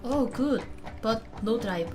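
A woman in her twenties from South Korea, speaking English with a Korean accent while streaming a game. She talks loudly and excitably, in rapid bursts and shouts. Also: fairly high pitch, music in the background. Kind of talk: excited live-stream gameplay chat.

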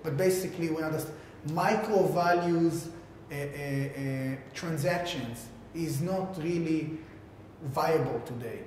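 Guy doing a halting tech pitch, super echoey reverby room, faint rumble. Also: darkish tone. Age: 30s. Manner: halting, filler-heavy delivery with repeated words. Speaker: tech company founder presenting a product. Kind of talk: informal keynote explanation to camera.

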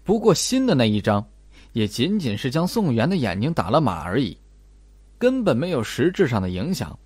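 A man's voice: medium pitch, big pitch swings, normal speed, a little mellow, slightly rough, dry recording. A man narrating a mystery audiobook in a dramatic, scripted style.

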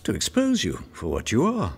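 An actor playing a contemptuous, menacing medieval nobleman in an audio drama, sneering and deliberate. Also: lowish voice, huge pitch swings, music in the background. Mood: sneering contempt.

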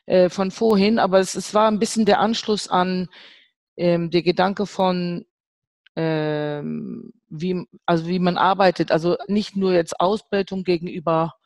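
A woman's voice: on the low side, darkish tone, dry recording. A middle-aged woman thinking aloud in a panel discussion, searching and hesitant as she forms her thoughts. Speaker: artist and art professor on a discussion panel.

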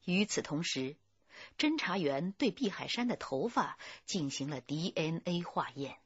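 A woman's voice: lowish voice, sing-song, unhurried, slightly rough, no room sound, dull audio. A woman reading scripted narration for a radio drama.

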